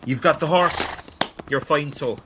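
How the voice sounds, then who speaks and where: A man's voice: a little high, a little slow, lightly acted. A man acting an easygoing, dismissive Irish village policeman in an amateur radio play, breezy and placating. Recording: faint background hiss.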